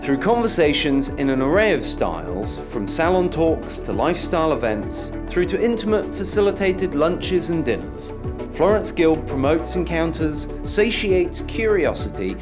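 A man's voice: on the high side, big pitch swings, unhurried. Polished male podcast announcer over music. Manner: polished, promotional delivery. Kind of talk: scripted narration.

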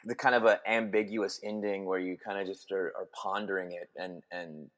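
A man in his forties from Texas, a guest chatting in an interview, who talks in halting bursts with many restarts. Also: low voice, steady tone, fairly bright tone. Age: 40s. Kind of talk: casual interview chat.

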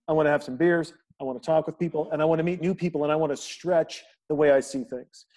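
A man's voice: on the high side, fairly even intonation, quick pace, a little mellow. A man hosting a podcast, chatting casually and praising his guest in a friendly, effusive way, often repeating words as he starts. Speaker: podcast host.

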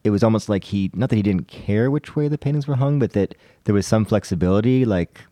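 A man's voice: on the low side, brisk, dark tone, dry recording, clean studio sound. A man chatting casually as a podcast panelist, telling anecdotes in a conversational, self-deprecating way.

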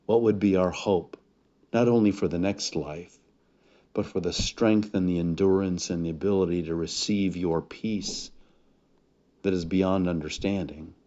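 A man speaking as a pastor in prayer and sermon introduction, with solemn, deliberate phrasing. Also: deep voice, steady tone, dark tone. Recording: dull, muffled lo-fi recording.

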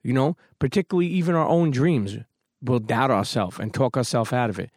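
A man hosts a podcast with a fired-up, emphatic delivery, swearing casually as he pushes his listeners to keep going. His voice sits at an ordinary middle pitch. The sound is dry and close, with no room around it. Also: brisk, a little mellow, dry recording. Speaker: podcast host. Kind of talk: motivational podcast talk.

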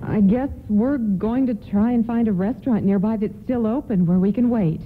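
A woman's voice: fairly high pitch, moderate pace, lightly acted. A woman acting a courteous, hesitant, worried mother in a radio play. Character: polite, worried mother stranded with her children. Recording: lo-fi, faintly noisy radio broadcast.

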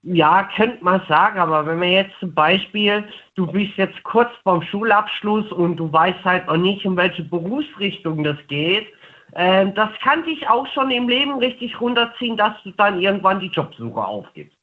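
High-voiced young male caller on phone. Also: lively intonation, normal speed. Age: 20s. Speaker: radio show caller. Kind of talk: casual phone-in conversation. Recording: narrow, tinny phone line.

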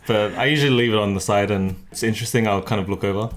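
A man's voice: on the low side, rapid-fire. A man from Malaysia in casual conversation, co-hosting a podcast. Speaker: podcast co-host. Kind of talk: casual chat.

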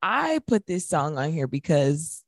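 A young woman with a slightly low voice talks music as a radio co-host. She is opinionated and sassy, leaning on colloquial phrasing, and the sound is close and dry. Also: very animated, measured pace, no echo. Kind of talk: casual opinionated music chat.

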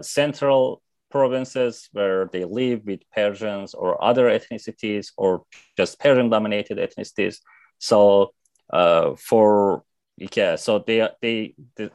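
A man's voice: lowish voice, no echo. A young man from Iran, a native Azerbaijani Turkish speaker, answering interview questions in a hesitant way with many fillers and restarts. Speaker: interview guest, activist.

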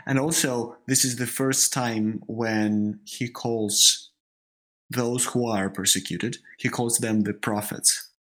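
A man with a heavy Russian accent, a native Russian speaker, co-hosting a spiritual discussion podcast and often halting to repeat words as he searches for phrasing. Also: medium pitch, fairly even intonation, fairly bright tone, poor audio. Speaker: podcast co-host.

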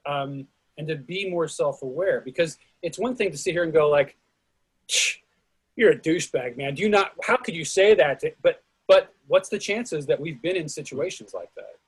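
Husky, higher-pitched man, emphatic. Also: moderate pace. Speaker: discussion participant in the arts. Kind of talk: casual, reflective discussion.

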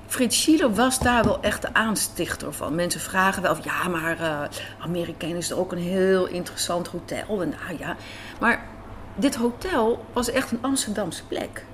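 A woman's voice: lowish voice, moderate pace, slight background noise. A woman telling stories casually as an interview guest. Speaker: interview guest, radio drama writer and director. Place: café in a hotel. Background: faint café ambience.

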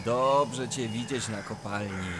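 An actor playing a cheerful young miner in a radio drama. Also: male voice, medium pitch, takes it slowly, noisy background, natural voice. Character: young male Silesian miner, light-hearted.

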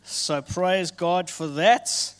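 A man's voice: high-pitched, fairly even intonation, extremely slow, fairly bright tone, clear, resonant tone. A middle-aged man with a South African accent, a pastor sharing his story casually from a church stage, with frequent fillers. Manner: casual, friendly, with filler words. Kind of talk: sermon testimony.

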